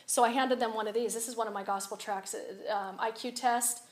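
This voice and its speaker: Woman speaker, raspy, slightly high, lively storyteller who swings from loud to hushed. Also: brisk. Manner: animated, confiding, rises and drops a lot. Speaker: speaker at a women's event. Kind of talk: personal storytelling talk.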